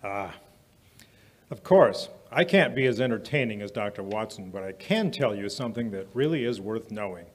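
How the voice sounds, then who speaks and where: A man playing an affable 1940s radio announcer and pitchman, theatrical and deliberate on a live stage. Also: expressive. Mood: smooth selling. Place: small stage in a museum, live performance. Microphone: vintage-style stand microphone.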